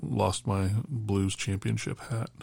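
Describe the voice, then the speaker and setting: A man co-hosting a casual podcast chat, his voice raspy and hoarse from screaming at a concert, rambling with lots of fillers. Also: fairly low pitch, flat delivery, slow, darkish tone, a little hiss or hum. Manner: rambling, filler-heavy delivery. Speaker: podcast co-host.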